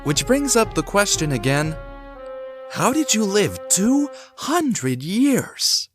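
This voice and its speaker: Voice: mid-range voice, slow pace, music in the background. An actor playing a curious amateur sleuth in a hobby audio drama, speaking expressively. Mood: skeptical. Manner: expressive, swinging between musing and exclaiming. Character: curious amateur sleuth exploring an old house. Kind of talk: hobby audio drama acting, thinking aloud.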